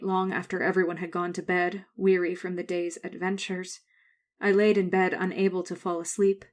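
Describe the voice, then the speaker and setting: A woman's voice: on the low side, natural voice. A woman playing a haunted adult survivor, narrating a scripted horror drama in a grave, suspenseful way. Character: haunted grown woman narrating her frightening schooldays. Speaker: narrator and main character.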